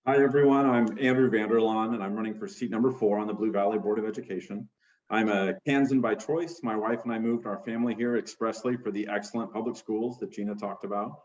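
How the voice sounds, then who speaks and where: A middle-aged man running for school board, giving a measured, organized opening statement over a video call. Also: lowish voice, fairly even intonation, darkish tone, echoey room, highs cut off. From United States.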